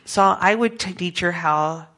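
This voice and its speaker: A middle-aged woman with an American accent gives an informal talk to a group as a missionary, conversational and halting, often correcting herself. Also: on the low side, fairly bright tone. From grew up in Peru as an American missionary kid. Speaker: missionary speaker giving a presentation.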